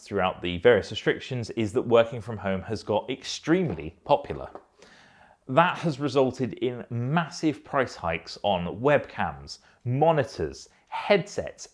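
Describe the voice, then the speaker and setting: Man giving a review, lively pitch swings. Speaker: product reviewer. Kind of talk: scripted-sounding headset review.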